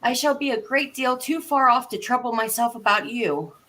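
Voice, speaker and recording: A bright, slightly high storybook girl played by a woman, curious and polite. She talks to herself with wonder, as a well-mannered child who likes to reason things out. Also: normal speed. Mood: amazed. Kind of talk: storybook live read.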